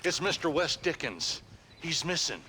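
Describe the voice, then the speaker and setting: An actor playing an eager, simple-minded frontier deputy in a Western video game, with an American Southern accent and a folksy, deferential manner. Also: male voice, a little high, big pitch swings, moderate pace, bright, forceful tone, a little hiss or hum. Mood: earnest.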